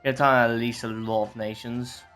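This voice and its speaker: A man's voice: medium pitch, little pitch movement, fast talker, fairly bright tone. A man giving casual playthrough commentary, musing aloud and reading game dialogue in a rambling way. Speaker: game streamer. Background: faint game music.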